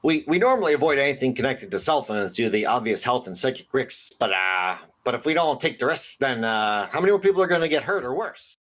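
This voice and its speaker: An actor playing an earnest small-town radio host who speaks in a conspiratorial, hesitant way with dry asides. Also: male voice, medium pitch, expressive, quick pace, hardly any pauses, a bit bright, natural voice. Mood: ominous, earnest. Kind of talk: audio drama radio call-in hosting.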